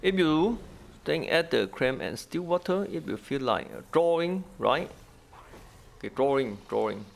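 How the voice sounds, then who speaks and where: A man's voice: a little high, very animated, a little slow, creaky, a little hiss or hum. A man giving casual gameplay commentary in non-native, broken English, thinking aloud in short, repeated phrases. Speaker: gaming video host.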